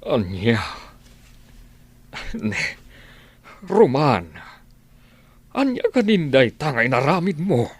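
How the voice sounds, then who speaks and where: A man's voice: medium pitch, sing-song, slow pace, pauses often, slightly rough, no room sound, full character voice. A man acting an authoritative, pleading middle-aged father and boss in an Ilocano radio drama. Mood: distressed.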